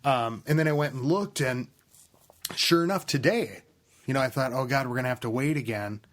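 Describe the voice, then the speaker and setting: A man's voice: mid-range voice, brisk, fairly bright tone. Rambling male podcast co-host. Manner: rambling, trails off and restarts. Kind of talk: casual chat.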